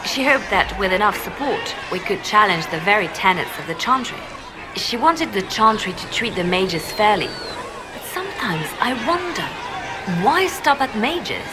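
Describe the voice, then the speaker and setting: An actress playing a guarded, resolute spymaster in a fantasy video game, speaking in a measured, serious way. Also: female voice, medium pitch, background noise. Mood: idealistic, brooding. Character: guarded, resolute female spymaster of a holy order. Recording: loud crowd and outdoor ambience behind.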